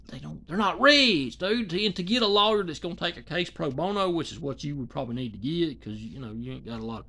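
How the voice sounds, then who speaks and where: A man's voice: fairly high pitch, fairly bright tone. A man in his thirties rambling in casual, colloquial commentary, full of restarts and asides, filmed close up in a small dim room. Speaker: commentary vlogger. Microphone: phone or webcam close to face.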